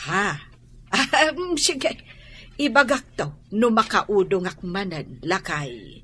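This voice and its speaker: A woman's voice: medium pitch, big pitch swings, slow pace, fairly bright tone, breathy edge, exaggerated voice. An actress playing an inquisitive, talkative mother of grown children in a radio drama, with an Ilocano accent. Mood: matter-of-fact.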